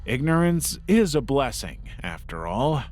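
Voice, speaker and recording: A man narrating a scripted documentary-style piece in a measured, serious way. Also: mid-range voice, very animated, a little mellow. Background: background music.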